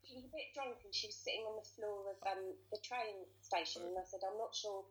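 A woman's voice: medium pitch, a little fast, a bit bright, poor audio. A woman being interviewed as an author, answering in an earnest, thoughtful way with hesitant phrasing. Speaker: author and guest.

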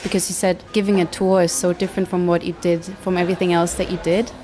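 Flat-voiced thoughtful woman interviewer. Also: lowish voice, soft background music. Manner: thoughtful, reflective, measured. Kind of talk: interview questions.

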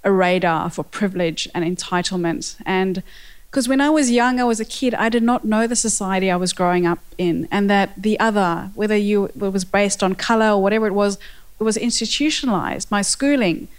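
A woman's voice: medium pitch, brisk, clear, resonant tone. A woman in her forties with a South African accent, speaking earnestly as a panel guest and sharing personal reflections.

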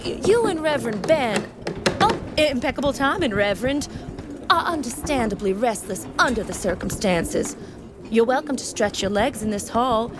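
A woman plays a formal, wry officer in a fantasy audio drama. Her voice is fairly high and articulate, with clipped politeness over exasperation. Noisy ambience with birds is behind her. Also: lively intonation, normal speed, natural voice. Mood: flustered politeness.

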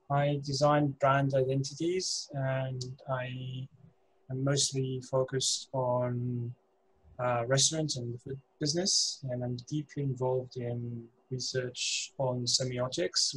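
A man from Greece speaks on a video call in a polite, measured way, with slightly formal wording. His voice is husky and flat in pitch. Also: poor audio. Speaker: brand identity designer. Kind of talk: casual chat.

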